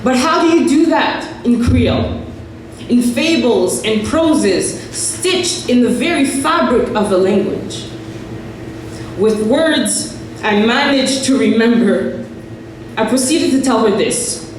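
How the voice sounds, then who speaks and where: A woman's voice: lively intonation, slow pace, a little hiss or hum. Haitian-born woman reciting poetry in an echoey hall. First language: French. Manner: rhythmic, emphatic recitation, higher and more forceful when reciting. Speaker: poet. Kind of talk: spoken word poetry performance. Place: large echoey festival hall. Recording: echoey hall recording from far off.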